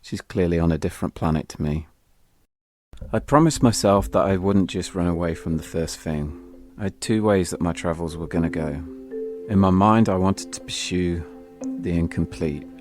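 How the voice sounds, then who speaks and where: A man's voice: deep voice, normal speed. A British man telling a scripted first-person story as an audio drama narrator, wry and confessional, with music behind him.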